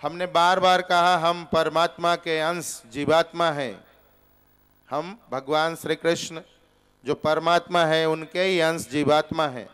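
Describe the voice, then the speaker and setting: A man in his forties giving a spiritual discourse in a temple hall, teaching slowly and deliberately with rhetorical questions into a stand microphone. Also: a little high, steady tone, a bit bright, smooth. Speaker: preacher. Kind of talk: Gita discourse. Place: temple hall with seated audience, indoors.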